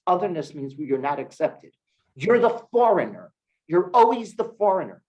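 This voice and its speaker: A man from Cleveland, a rabbi teaching a congregation, who stresses key words and then drops his voice low. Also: darkish tone, low-quality recording. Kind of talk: Torah class sermon. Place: synagogue hall with congregation.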